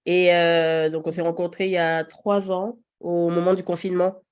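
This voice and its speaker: A woman's voice: fairly low pitch, flat delivery, moderate pace, darkish tone, clear, resonant tone. A woman in her thirties, a podcast guest, talking casually with many fillers and easy laughs. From Paris region. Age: 30s. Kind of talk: casual chat.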